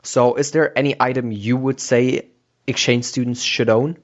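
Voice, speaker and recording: A man's voice: mid-range voice, fairly even intonation, normal speed. Male podcast host, mid-range voice, casual conversational interviewer, slightly muffled call-quality recording. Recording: dull lo-fi internet call audio.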